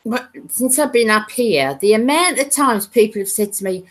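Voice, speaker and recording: Lively East End London woman. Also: moderate pace. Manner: lively, mimics others with high voices. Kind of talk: casual chat.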